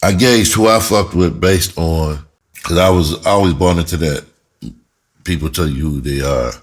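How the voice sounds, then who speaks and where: A middle-aged American man speaks in a very low, husky voice. He tells his story casually, as if to friends. His delivery is blunt and emphatic, full of swearing and street slang. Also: normal speed. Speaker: screenwriter telling his own story. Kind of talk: casual first-person storytelling to camera.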